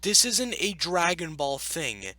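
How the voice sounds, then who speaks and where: A man with a high, bright voice that swings up and down a lot. He reads and reacts casually, arguing back in an exasperated tone. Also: very animated, takes it slowly, no echo. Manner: exasperated, argumentative. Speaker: YouTube commentator. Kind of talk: casual reaction commentary while reading.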